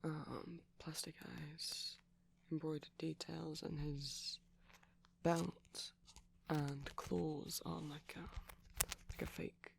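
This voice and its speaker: A man speaking softly and breathily in an ASMR boyfriend roleplay as a shy, gentle vampire, hesitant and often slipping into whispers. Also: a little high, little pitch movement, extremely slow, gravelly, a little hiss or hum. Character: shy, gentle pastel vampire boyfriend showing off plush toys.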